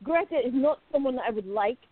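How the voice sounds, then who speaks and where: A woman playing a jealous, besotted young woman confiding in her diary in a radio play, intimate, expressive and musing. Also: high-pitched, a little fast. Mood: wistful, jealous. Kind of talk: dramatic first-person diary narration in a radio play. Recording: narrow phone-like lo-fi sound.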